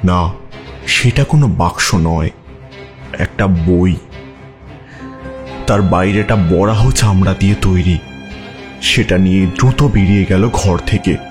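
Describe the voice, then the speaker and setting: Guy reading a scary audio story, low voice, theatrical suspense, music going behind him. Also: takes it slowly, long pauses. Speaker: story narrator. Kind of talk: dramatic scripted horror narration.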